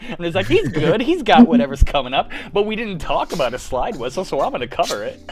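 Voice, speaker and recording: A man's voice: sits high, sing-song, quick pace, a loud crowd. A young man hosting a casual after-show chat on a video call, rambling with lots of fillers. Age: young adult. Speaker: host of a live radio-play reading show. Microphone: home mic on a video call.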